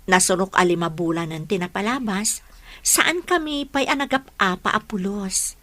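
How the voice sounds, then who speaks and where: A woman's voice: mid-range voice, normal speed, a bit bright, natural voice. An actress playing a trusting, anxious young girlfriend in a radio drama, with an Ilocano accent. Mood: defensive, earnest.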